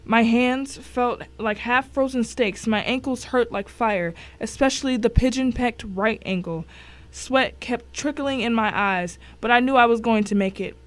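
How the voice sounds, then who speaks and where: A woman's voice: on the high side, unhurried. A woman reading a scripted first-person thriller as narrator, telling it with drama and suspense. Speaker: story narrator.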